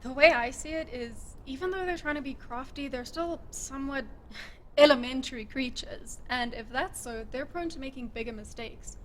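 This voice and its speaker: A woman's voice: high voice, normal speed, fairly bright tone, a little hiss or hum. A woman playing a curt, menacing female desert guardian with a dry sarcastic manner in an audio drama. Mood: brisk, calculating.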